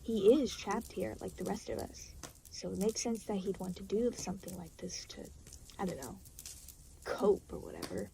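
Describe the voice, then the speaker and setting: A woman's voice: medium pitch, moderate pace, vocal fry, dark tone, slight background noise, natural voice, poor audio. A woman playing an aloof, exasperated demon in an audio roleplay, talking to the listener in a dry, sarcastic, weary way. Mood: weary, sardonic. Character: aloof, exasperated demon, reluctantly babysitting a new soul.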